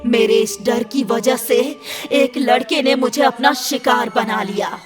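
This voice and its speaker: Woman voicing a slow, vengeful ghost of a young woman. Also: a little high, over music, natural voice. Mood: bitter, sorrowful. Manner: slow, solemn, menacing. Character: vengeful young female ghost protecting innocent girls. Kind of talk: dramatic horror story acting.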